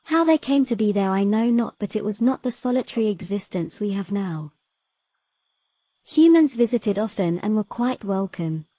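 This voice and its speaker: A woman's voice: mid-range voice, lively intonation, normal speed, no echo, lo-fi sound, close to an everyday voice. A woman playing a troubled, earnest young mermaid in a romantic fantasy audio drama. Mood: wistful storytelling.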